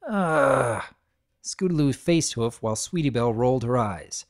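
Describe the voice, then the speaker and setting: A woman narrating a story in an expressive, dramatic reading. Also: extremely low pitch, a little fast.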